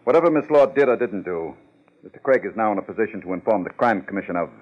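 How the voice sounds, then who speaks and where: Pompous, low-voiced gentleman villain. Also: animated, quick pace. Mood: fastidious, hesitant. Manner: fussy, hesitant, pompous. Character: prissy, evasive, respectable male philanthropist villain. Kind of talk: 1950s radio drama acting. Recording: dull, lo-fi 1950s radio recording.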